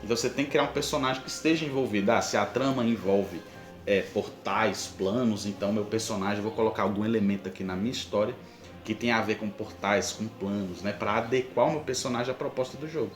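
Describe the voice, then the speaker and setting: A man's voice: lowish voice, quick pace, faint music behind. Brazilian man in a roomy echo. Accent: Brazilian Portuguese. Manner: explanatory, informal, addresses the listener directly. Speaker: podcast host. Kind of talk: conversational advice with examples.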